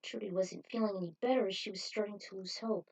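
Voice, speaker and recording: Husky-voiced woman narrating a story. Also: mid-range voice, fairly even intonation, fast talker, a little mellow, far from the mic. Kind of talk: amateur fan fiction narration.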